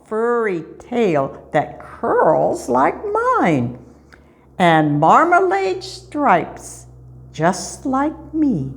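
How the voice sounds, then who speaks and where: An older woman voicing a boastful, excitable male cat in a children's storybook, pompous and full of exclamation. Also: lowish voice, exaggerated voice.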